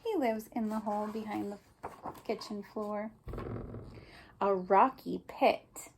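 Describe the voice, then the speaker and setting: A woman's voice: mid-range voice, steady tone, slow pace, a little mellow, slight background noise, low-quality recording. A woman teacher reading a picture book aloud to young children, explaining simply and prompting them with questions.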